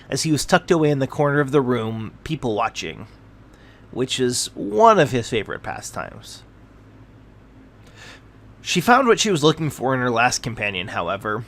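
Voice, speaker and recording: Man, storyteller, scripted narration, fantasy tale read aloud, medium pitch. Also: a bit bright.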